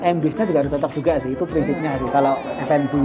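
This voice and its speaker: A man who owns a restaurant answers interview questions in a thoughtful way, pausing often to find his words. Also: fairly high pitch, fairly even intonation. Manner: thoughtful, pauses with many fillers. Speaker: restaurant owner. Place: busy restaurant. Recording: loud music and crowd chatter. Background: music and diners chattering.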